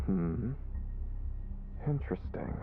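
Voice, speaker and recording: An actor voicing a coolly intrigued, sinister head experimenter in a video game. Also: male voice, deep voice, animated, slow pace, narrow, tinny audio, a little hiss or hum. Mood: cool interest.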